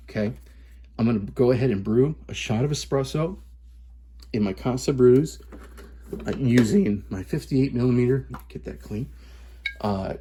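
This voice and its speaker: A man in his thirties from Southern California, chatting excitedly and casually as he reviews coffee, with plenty of fillers and restarts. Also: mid-range voice, husky. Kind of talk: casual vlog chat.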